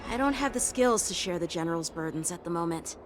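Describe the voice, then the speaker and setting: A man's voice: sits high, animated, fast. An actor playing an earnest, dutiful young male officer who speaks courteously and formally. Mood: polite. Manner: courteous, formal, self-critical. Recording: game dialogue over music. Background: game music.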